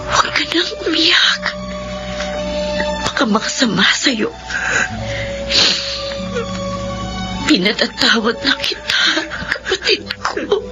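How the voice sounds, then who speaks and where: A woman's voice: sits high, very animated, measured pace, low-quality recording. A woman playing a devoted, long-suffering elder sister in a Filipino radio drama, with an emotional, melodramatic delivery that can be tearful or fierce. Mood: tender, forgiving. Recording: band-limited radio drama with loud music.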